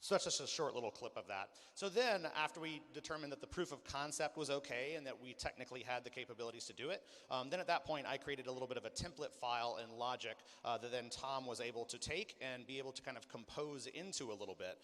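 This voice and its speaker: Male clinic presenter, mid-pitched and rapid, informal explainer delivery, recorded in a big echoing hall. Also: fairly bright tone. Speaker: music coordinator and sound designer giving a clinic. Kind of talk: spoken clinic presentation with demonstrations. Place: large hall, live clinic performance. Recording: long hall reverb.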